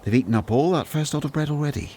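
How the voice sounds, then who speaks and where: An actor playing a weary, anxious, grumbling former tax collector turned disciple in a radio play, brooding and earnest. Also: male voice, a little high, racing, dark tone, a little hiss or hum, lightly acted. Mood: brooding, resentful. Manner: brooding, earnest, self-doubting.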